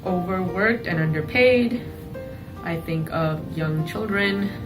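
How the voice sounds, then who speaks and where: A young woman in her twenties answers interview questions in a low voice, hedging often with fillers. She sits away from the mic, and music plays under her voice. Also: slow pace, distant mic, poor audio. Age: 20s. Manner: hesitant, many fillers.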